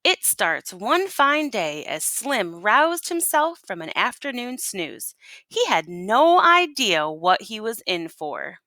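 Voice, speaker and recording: A woman reading a picture book aloud as a teacher would, in an expressive, folksy storytelling manner. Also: mid-range voice, takes it slowly, dry recording. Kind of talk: animated picture-book read-aloud to listeners.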